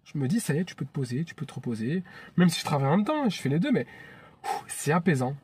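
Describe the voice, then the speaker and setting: Man, fairly high voice, husky, earnest chatty vlog host. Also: very animated, fast talker, a little mellow, no room sound. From France. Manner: earnest and chatty, often restarting phrases. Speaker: YouTube channel host. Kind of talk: casual vlog monologue.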